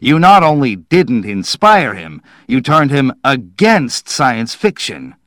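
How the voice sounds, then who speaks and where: A man's voice: sits low, animated, slow, fairly bright tone, dry recording, clean studio sound, heavily acted. A man voicing a stern male boss in an audiobook, biting, emphatic and accusing in his disbelief. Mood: appalled.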